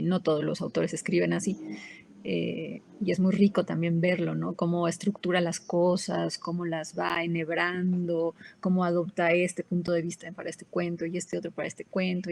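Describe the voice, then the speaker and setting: A woman guest speaks thoughtfully and in a measured way, explaining her ideas with care. Her voice sits low, with a gravelly edge. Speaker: guest, translator. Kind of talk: casual conversation.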